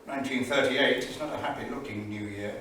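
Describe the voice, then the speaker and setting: An actor playing an erudite, anxious Cambridge don reading his 1938 journal in a literary, deliberate, wry theatrical style. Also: mid-range voice, pushed and bright, natural voice. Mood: grave, hopeful. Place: small studio theatre, live staged reading. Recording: very echoey theatre, distant mic, faint noise.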